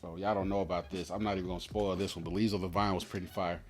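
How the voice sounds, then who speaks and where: A man's voice: low-pitched, little pitch movement, racing, darkish tone, soft background music. A man hosting a card pack opening, rambling casually in a slangy, profane, opinionated way as he tells stories. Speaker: host opening trading card packs. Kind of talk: casual rambling commentary and storytelling.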